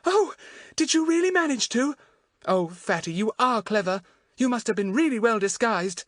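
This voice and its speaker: A man voicing an excitable, admiring young girl in a children's audiobook, gushing and exclamatory. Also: very high voice, measured pace, no echo, studio quality, pitched above the performer's own voice, heavily acted. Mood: delighted.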